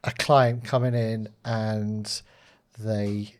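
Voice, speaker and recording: A man's voice: on the low side, little pitch movement, very slow, darkish tone. A man hosting an interview with colleagues, a former mortgage broker who prompts with questions and lots of agreeing yeahs.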